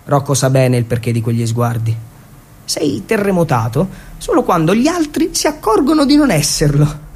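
A man performing a theatrical narrative monologue for radio, with a dramatic, irreverent delivery and emphatic pauses. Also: on the high side, big pitch swings, darkish tone, a little hiss or hum. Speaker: stage storyteller and actor.

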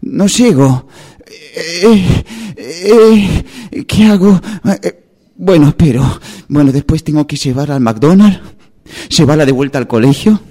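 A man's voice: on the high side, expressive, slow, dark tone. A man with an Argentine accent playing an anxious, overwhelmed parent, fretting repetitively in an improvised radio theatre monologue. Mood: anxious, panicky. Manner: fretful, sighing, repetitive. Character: anxious, breathless, overwhelmed parent stuck waiting in line.

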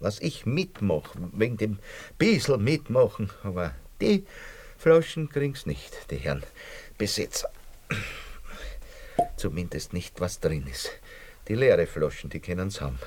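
A grumbling Viennese innkeeper in a radio drama. He is excitable and comically pompous, stammers over repeated words, and his pitch ranges widely. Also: measured pace, pauses often. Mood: grumbling, fed up. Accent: Viennese Austrian. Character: grumbling, excitable, put-upon Viennese tavern keeper. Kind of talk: radio play acting.

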